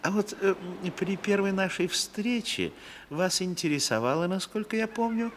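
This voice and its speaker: Smug, swooping elderly mafia boss. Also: male voice, high voice, expressive. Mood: mock-polite. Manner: unctuous, mocking courtesy, drawn-out phrases with chuckles. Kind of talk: 1960s Soviet radio drama acting. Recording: old radio recording with background noise.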